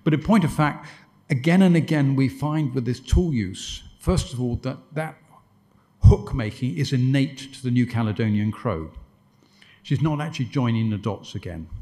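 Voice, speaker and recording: Man, mid-pitched, conference speaker, dry wit, rambling restarts. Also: warm tone. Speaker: guest lecturer answering questions. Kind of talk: conference Q&A answers.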